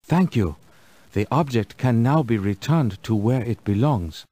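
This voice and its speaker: Guy playing a kindly old village elder in a kids game, slow n ceremonious, really melodic, music behind. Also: medium pitch, expressive, natural voice. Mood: gentle, solemn. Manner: measured, formal, ceremonious. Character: kindly dignified village elder guarding treasures, patient and grateful. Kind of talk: children's educational game voice acting.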